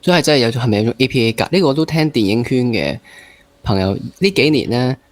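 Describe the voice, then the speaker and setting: A man's voice: medium pitch, animated, fast, dry recording. A man with a Hong Kong accent hosting a casual interview, probing and rephrasing at length with piled-up examples. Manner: rephrases at length, piles up examples, mixes in English. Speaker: interview host.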